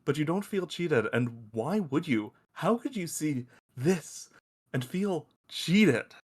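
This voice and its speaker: A man's voice: a little high, takes it slowly, slightly rough, exaggerated voice. A man playing a crude, teasing space probe who loves football and shows off as he explains, in a table-read audio drama. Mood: earnest, enthusiastic. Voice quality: animated, swooping delivery. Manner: foul-mouthed, teasing, showy explainer.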